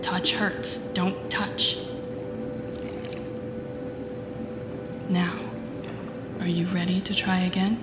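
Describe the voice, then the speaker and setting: A woman playing a confident, teasing telepathic villain in an intimate audio roleplay, speaking softly and often near a whisper in a soothing, guiding way. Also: fairly low pitch, natural voice. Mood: firm, coaxing. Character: teasing, confident female telepathic villain coaching a rookie hero. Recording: echoey indoor pool sound, music behind. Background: music.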